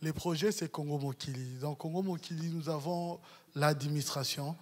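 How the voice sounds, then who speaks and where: A man in his thirties speaks into a handheld mic from a panel table in a hall lined with bookshelves. His voice sits high, and his answers ramble. It drops to a murmur, then swells again. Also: moderate pace. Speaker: project representative at a press conference. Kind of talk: answering questions, mixing French and Lingala.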